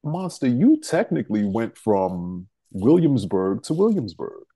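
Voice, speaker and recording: A man's voice: fairly low pitch, sing-song, unhurried, dark tone, breathy edge. A man hosting an interview over a video call, chatty and teasing. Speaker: host. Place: indoor room at home. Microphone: video call webcam.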